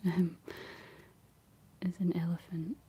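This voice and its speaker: A young Scottish woman speaking in a soft, breathy whisper, chatting casually and gently in an ASMR style. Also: on the low side, little pitch movement, slow. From Scotland. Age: young adult. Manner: gentle, rambling chat while painting. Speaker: ASMR streamer reading and answering live chat. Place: living room.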